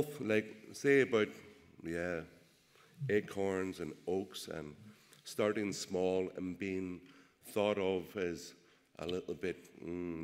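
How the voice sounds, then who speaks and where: A man's voice: deep voice, fairly bright tone. Derry man speaking in a reverberant hall. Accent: Derry, Northern Irish. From Northern Ireland. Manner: rousing, rhetorical questions, frequent "uh" pauses. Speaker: political speaker. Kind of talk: public speech at a rally. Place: large event hall. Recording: echoey hall with long reverb.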